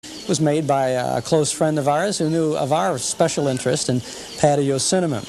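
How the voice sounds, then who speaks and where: Higher-voiced male host with some fry. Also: moderate pace, darkish tone, slight background noise. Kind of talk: informal nostalgia TV presenting.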